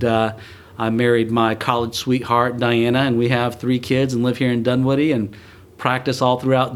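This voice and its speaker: A middle-aged man from South Alabama speaks as a podcast guest, his voice mid-pitched and fairly level. He comes across warm and humble, with lots of small hesitations. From South Alabama, USA. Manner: warm, humble, many "uh" fillers. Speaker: guest, malpractice lawyer. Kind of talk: casual podcast interview. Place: law firm conference room.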